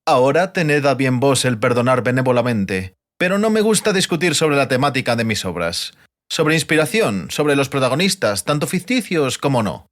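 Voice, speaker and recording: A man's voice: medium pitch, big pitch swings, moderate pace, voice pushed up, close to an everyday voice. A man voicing a haughty, sarcastic bard in an audiobook, with a dry and pompous manner. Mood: pompous, lecturing. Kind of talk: audiobook character voice.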